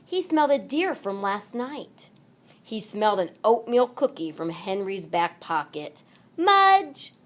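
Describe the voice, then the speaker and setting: A woman narrating a children's read-along story aloud in an expressive, deliberate manner. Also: mid-range voice. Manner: deliberate, animated delivery for children.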